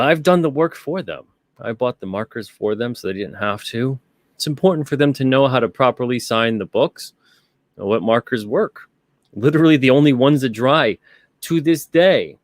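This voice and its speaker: A man's voice: mid-range voice, no room sound. Man hosting a casual live stream, dry close sound. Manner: rambling, conversational, repeats himself. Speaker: live-stream show host. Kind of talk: casual chat, reading out live chat comments.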